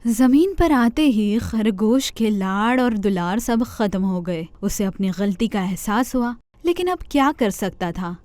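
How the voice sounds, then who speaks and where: A woman narrating a children's cartoon fairy tale in an expressive storytelling manner, speaking to kids. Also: medium pitch, no room sound.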